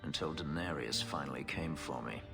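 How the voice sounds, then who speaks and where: A man's voice: rumbling low, moderate pace, gravelly, long reverb tail, music in the background. An actor playing a brooding, wry male escaped slave who tells his story dryly in a fantasy video game. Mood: reflective, bitter. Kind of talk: cinematic game dialogue, storytelling.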